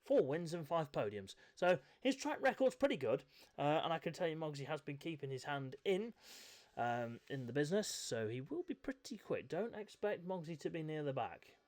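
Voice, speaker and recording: A man doing live commentary on a motorcycle esports race, a little croaky from a chest infection, chatty with self-mocking asides. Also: a little high, very animated, normal speed, darkish tone. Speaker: race commentator and event host.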